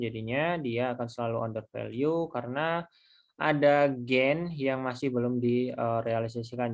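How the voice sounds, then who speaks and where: Male lecturer, mid-pitched voice, informal and halting tutorial delivery, walking through material step by step. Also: gravelly. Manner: informal, halting, step-by-step explaining with self-posed questions. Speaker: accounting lecturer. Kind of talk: explanatory screen tutorial lecture.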